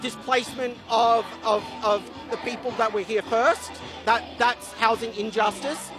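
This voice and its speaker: A man speaking for a renters' union at a rally, earnest and a little halting. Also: very high voice, fairly even intonation. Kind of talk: rally statement. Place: outdoor street rally. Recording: music behind, outdoors.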